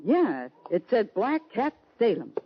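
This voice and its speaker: A man playing a feisty, folksy sidekick in an old radio western, with a raspy voice and a blustering drawl full of quaint oaths. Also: high voice, wildly swooping pitch, unhurried, full character voice. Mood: excited, insistent. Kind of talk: 1950s radio western acting. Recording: muffled old-time radio recording.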